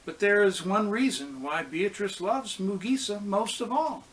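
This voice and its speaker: A man with a slightly high voice reads a children's storybook aloud. He goes very slowly and deliberately, pausing for expression. There is faint noise behind him. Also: a bit bright. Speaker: pastor reading a children's story.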